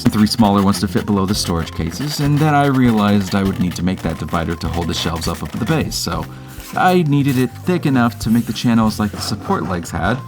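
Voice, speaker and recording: A man hosting a do-it-yourself video with casual, wry, self-deprecating voice-over narration. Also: on the low side.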